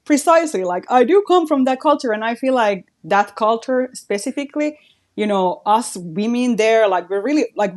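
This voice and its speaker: Woman, Finnish accent, podcast guest, reflective, filler-heavy casual talk, mid pitch. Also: darkish tone. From Finland. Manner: reflective, says "like" very often. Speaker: guest artist and DJ.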